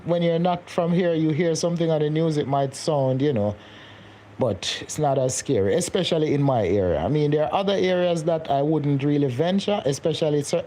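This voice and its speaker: Guy rambling on his livestream, mellow low-key voice, faint noise behind. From Jamaica. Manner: rambling, repeats words, laughs often. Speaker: live-stream host. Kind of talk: casual live-stream chat reading comments.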